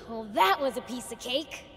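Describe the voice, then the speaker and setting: A woman's voice: high voice, animated, a little slow. A woman voicing a cocky, impatient young boy demon prince in a video game, brash and scrappy. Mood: smug. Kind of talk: animated video game cutscene acting.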